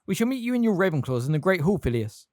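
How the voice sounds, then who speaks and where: A reader voicing a stern older woman who gives sharp, scolding commands. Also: mid-range voice, very animated, fast, no room sound, studio quality, voice pushed up, natural voice.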